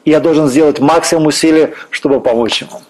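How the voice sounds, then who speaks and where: A middle-aged man from Russia with a somewhat higher voice, talking on a church stage in a fervent, preacher-like way. He quotes scripture and speaks with earnest conviction. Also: fast talker, darkish tone, low-quality recording. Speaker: guest minister. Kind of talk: earnest faith-based interview talk.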